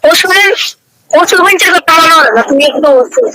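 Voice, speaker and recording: High, bright woman, eastern Bengali dialect. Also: normal speed, dry recording, low-quality recording. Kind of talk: casual bantering chat.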